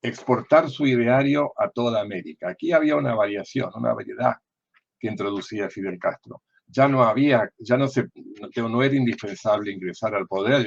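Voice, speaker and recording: An older man with an Argentine accent giving political commentary in a deliberate, didactic way, often posing questions and answering them himself. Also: medium pitch, gravelly, muffled recording. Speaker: former diplomat and political analyst.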